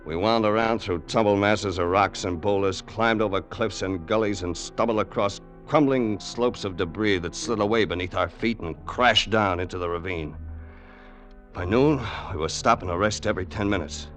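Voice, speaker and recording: A man's voice: on the low side, moderate pace, fairly bright tone, lightly acted. An actor playing a tough, wisecracking crook who narrates his story colloquially in old radio drama style. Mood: weary, tense narrating. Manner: colloquial, hard-boiled. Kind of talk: 1940s-50s radio acting, first-person narration. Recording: vintage radio drama recording with music.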